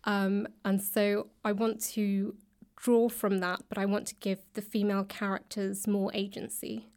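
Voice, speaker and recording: A woman giving thoughtful, conversational interview answers, pausing often and a little hesitantly between phrases. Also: medium pitch, level tone, a little mellow, clear, resonant tone. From grew up in the UK and India. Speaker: guest, author and actor. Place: indoors, upper floor of a public library.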